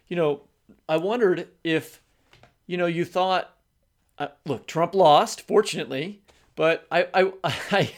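A man co-hosting an interview podcast in a casual, informal, slightly wry conversational way. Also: mid-range voice. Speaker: podcast co-host.

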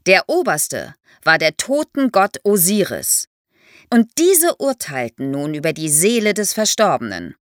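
Female expert guest for an explainer podcast, lively intonation, vivid storytelling manner, very dry studio sound. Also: fairly low pitch, unhurried, no echo. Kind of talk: explanatory podcast interview for young listeners.

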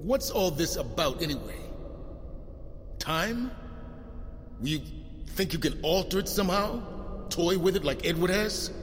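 An actor playing a stubborn, indignant American government agent in an audio drama. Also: male voice, a little high, lively intonation, measured pace, long pauses, big-room sound, a little hiss or hum. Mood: skeptical.

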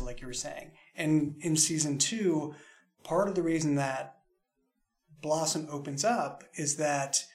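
A man's voice: a little high, creaky. A man speaking as an audio drama creator in a roundtable interview, explaining things in a reflective, discursive way.

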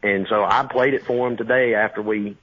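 Brief: twenties man, husky voice, rambling call-in over a phone line. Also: fairly low pitch, fairly even intonation, a little fast. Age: 20s. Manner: casual, rambling, many fillers. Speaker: caller, high school teacher. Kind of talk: phone-in conversation.

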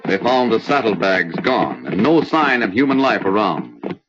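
A man's voice: lowish voice, big pitch swings, a little slow, fairly bright tone. A man narrating an old-time radio adventure serial in a formal announcer style. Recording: dull, lo-fi old radio recording. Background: music.

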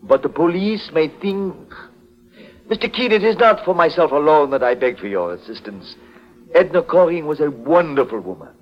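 An actor playing a polite, anxious foreign exporter in a 1940s radio detective drama, speaking formally and hesitantly. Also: male voice, fairly high pitch, expressive, normal speed, natural voice. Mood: hesitant, pleading. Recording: dull old 1940s radio broadcast.

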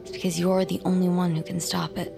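A woman's voice: fairly low pitch, little pitch movement, fairly quick, gravelly, over music. An actress playing a practical, guarded woman helping investigate the occult in an audio drama. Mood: uneasy, confiding.

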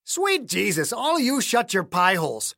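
A narrator voicing a gruff, scowling older caretaker with a folksy, surly manner. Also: mid-range voice, big pitch swings, normal speed, a bit bright, dry recording, studio quality, voice pushed up. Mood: annoyed. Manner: cantankerous, folksy, tacks "eh" onto sentences.

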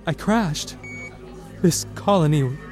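Slow, dazed, lovesick space traveler. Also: male voice, on the high side, over music. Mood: dazed, tender. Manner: halting, dazed, repeats himself. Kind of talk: audio drama acting.

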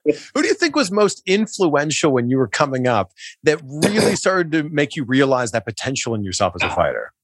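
A man's voice: fairly high pitch, wildly swooping pitch, fairly quick, dry recording. A man hosting an interview, asking casual questions and laughing along with his guest. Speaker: interview host.

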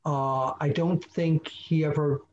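A man's voice: on the high side, fairly even intonation, slow, a little mellow. Man on slightly dull audio, storytelling. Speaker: co-host, former magazine editor. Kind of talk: casual podcast chat.

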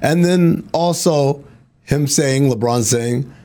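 A man's voice: mid-range voice, slow, warm tone. A man hosting a sports talk show, playful and teasing, reading sponsor lines and often laughing.

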